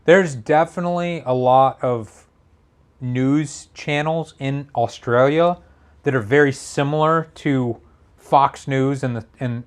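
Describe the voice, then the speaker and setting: Casual man, slightly raspy. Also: mid-range voice, slow. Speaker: show co-host reading viewer messages. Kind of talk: casual chat.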